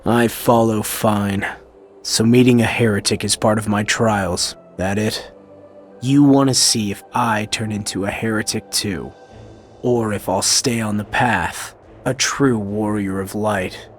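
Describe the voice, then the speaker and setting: Man playing a low-voiced, stubborn young warrior. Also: steady tone, measured pace, soft background music, lightly acted. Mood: dry, wary. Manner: blunt, casual, dryly defiant. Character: young male warrior hero, cocky, dry and stubborn. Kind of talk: video game cutscene acting.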